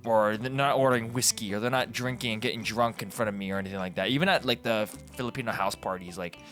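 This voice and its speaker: A man's voice: on the low side, fast, fairly bright tone. A Canadian man hosting a casual, rambling commentary, informal and digressive, often correcting himself as he goes. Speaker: video host. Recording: background guitar music. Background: guitar music.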